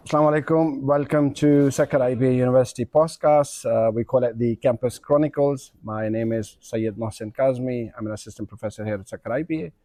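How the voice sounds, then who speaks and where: A man's voice: mid-range voice, brisk, few pauses, warm tone, no echo. A man with a Pakistani accent hosting a semi-formal interview, speaking politely and deferentially to his guest. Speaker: podcast host interviewing a guest.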